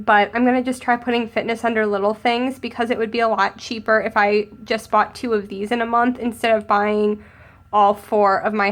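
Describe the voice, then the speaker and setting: A young woman with a cold, a little hoarse and sniffly, chatting casually as she narrates decorating her planner. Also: a little high, fairly bright tone. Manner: chatty, upbeat, thinking aloud while crafting. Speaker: planner vlogger.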